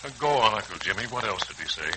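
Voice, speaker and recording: A man's voice: lowish voice, animated, quick pace, lightly acted. An actor playing a middle-aged American husband and father, fretful and blustering, in a 1940s radio serial. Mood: worried. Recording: noisy 1940s radio recording.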